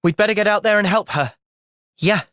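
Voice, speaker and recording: A young man playing an earnest, thoughtful young soldier hero in a fantasy video game's cutscenes, with expressive delivery. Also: high voice, brisk, no echo, clean studio sound. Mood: resolute. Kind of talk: video game cutscene acting.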